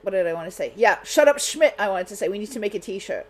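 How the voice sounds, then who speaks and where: Halting, mid-pitched woman host. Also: animated. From Germany. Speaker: radio show host. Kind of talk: casual chat.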